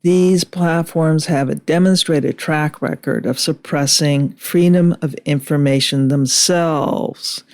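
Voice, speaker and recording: A woman delivering scripted political commentary in a measured, deliberate way with emphatic phrasing. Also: low-pitched, fairly even intonation, darkish tone. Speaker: video commentator.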